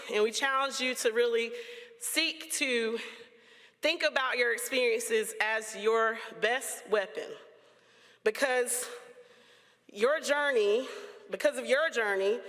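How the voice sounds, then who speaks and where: A woman's voice: on the high side, a bit bright. A woman giving an encouraging, rousing commencement address with playful asides. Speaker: keynote speaker. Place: large auditorium. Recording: long hall reverb.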